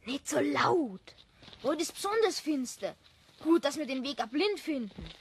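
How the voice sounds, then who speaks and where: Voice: high-pitched, big pitch swings, measured pace. A young performer playing a cheeky, defiant boy prankster with a Bavarian accent in a children's audio drama. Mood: bold, goading.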